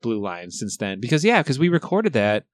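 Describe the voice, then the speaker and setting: Lively rambling young man, dry sound. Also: medium pitch, moderate pace, dry recording. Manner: rambling, laughing, swearing freely. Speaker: podcast co-host. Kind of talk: casual chat.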